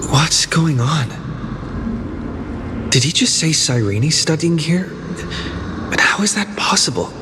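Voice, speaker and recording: A man's voice: on the high side, expressive, takes it slowly, long pauses, noisy background. A man playing a puzzled, solitary young man in a video game, questioning and musing to himself. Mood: puzzled, annoyed. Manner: brooding, questioning inner monologue.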